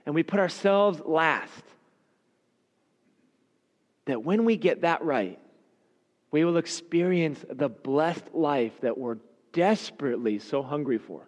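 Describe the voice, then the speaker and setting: A man preaching a sermon, his voice raspy and hoarse because he is losing it, speaking in an earnest, emphatic cadence. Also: fairly high pitch, expressive, takes it slowly, long pauses. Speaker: pastor. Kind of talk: sermon with scripture reading and prayer. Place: church sanctuary. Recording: long hall reverb.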